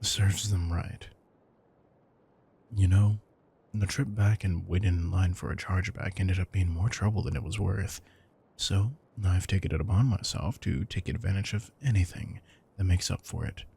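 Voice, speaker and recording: Male actor as retired villain turned house husband, deep, very raspy, smug, dryly affectionate, thrifty, close romantic audio roleplay. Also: steady tone, darkish tone, heavily acted. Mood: unrepentant. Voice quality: husky, gravelly low voice. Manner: smug, dry, affectionate, deliberate phrasing. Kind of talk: intimate scripted audio roleplay.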